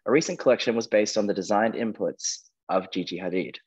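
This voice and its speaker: A man with a fairly low voice works as a quizmaster, presenting questions and adjudicating answers. The sound is somewhat dull, as on an online call. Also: fairly quick, darkish tone, husky. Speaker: quizmaster, host. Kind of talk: reading quiz questions and ruling on answers.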